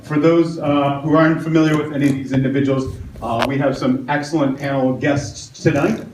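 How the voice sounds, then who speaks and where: A man running a community meeting, his loud voice a little high. He talks in an upbeat way with frequent fillers. The recording is roomy and picked up from across the room. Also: fairly even intonation, a little fast. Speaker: meeting moderator. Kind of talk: public meeting emcee. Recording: roomy, distant, with faint noise.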